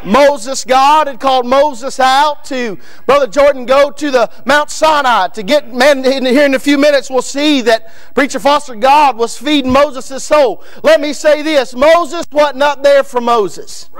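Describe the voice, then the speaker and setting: Kentucky preacher guy in his 30s, really high voice for a man, gets loud and shouty at the pulpit in a church. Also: measured pace, few pauses. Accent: Northern Kentucky. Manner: builds to shouting, repeats lines, addresses men in the pews by name. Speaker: guest preacher. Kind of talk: fiery Baptist sermon. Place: church sanctuary. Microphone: pulpit microphone.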